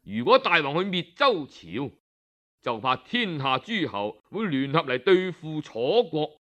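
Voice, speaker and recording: A man voicing an earnest court minister in a storytelling performance, solemn, measured and imploring. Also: fairly high pitch, big pitch swings, fairly bright tone, lo-fi sound, pitched below the performer's own voice. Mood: cautious, earnest. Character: grave, earnest male court minister pleading with his king.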